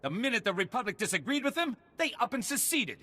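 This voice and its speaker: An actor playing a cynical, sneering army captain with a grudge in a video game. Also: male voice, extremely high pitch, big pitch swings, fast, bright, forceful tone, big-room sound, full character voice. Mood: scornful. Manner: sneering, scornful. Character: bitter, cynical male soldier, a captain with a grudge.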